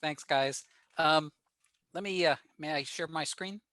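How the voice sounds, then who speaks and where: An older American man presents technical material informally. His voice is bright and forward, with a plain-spoken, explanatory manner. The sound is close and dry, with very little room in it. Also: fairly high pitch, measured pace, dry recording. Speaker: guest presenter at a radio club. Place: remote video call.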